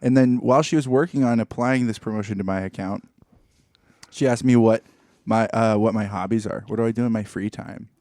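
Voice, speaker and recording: A man's voice: lowish voice, brisk, darkish tone, dry recording, clean studio sound. A man, middle-aged, chatting casually and telling anecdotes with a wry, mock-formal turn of phrase, as a podcast co-host.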